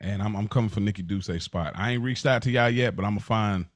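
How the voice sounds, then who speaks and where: A man's voice: lowish voice, fast talker, no echo. An American man co-hosting a podcast, talking casually and earnestly in informal, slangy language. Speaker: podcast co-host.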